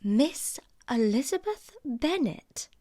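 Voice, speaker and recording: A woman's voice: high voice, sing-song, slow, dark tone, no room sound, pitched above the performer's own voice, exaggerated voice. A haughty young lady of society put on by a woman narrator in a classic audiobook. She is affected and disdainful, with arch, snide phrasing. The sound is dry and close. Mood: mocking, arch. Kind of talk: audiobook character acting.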